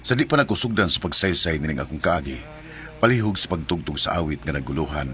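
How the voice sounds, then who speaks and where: A man's voice: very deep voice, animated, fast, fairly bright tone, slight background noise. A man in a radio drama playing a hesitant married man who narrates his own story and stammers through apologies. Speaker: narrator and lead.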